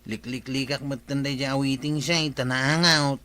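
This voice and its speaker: A man's voice: mid-range voice, fairly even intonation, moderate pace, bright, forceful tone, natural voice. An actor with an Ilocano accent playing an earnest younger village man in a radio comedy drama. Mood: concerned.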